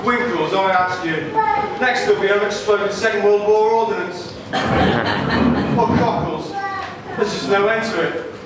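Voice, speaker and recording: A man's voice: sits high, a little slow. Man voicing a grumbling greedy dragon, very echoey. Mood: grumpy, weary. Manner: complaining, theatrical. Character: greedy grumbling sleepy dragon in puppet show. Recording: distant, very echoey, noisy live hall.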